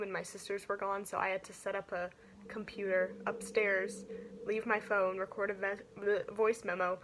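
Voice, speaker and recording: Teenage girl over clear background noise. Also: mid-range voice. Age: teens. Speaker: student actor. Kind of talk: casual storytelling in interview.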